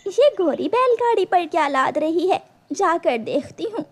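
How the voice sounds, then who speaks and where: A woman's voice: extremely high pitch, sing-song, unhurried, full character voice. A woman voicing an earnest, plaintive, hardworking mother bird in a children's cartoon. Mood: suspicious, accusing. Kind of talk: Hindi cartoon voice acting.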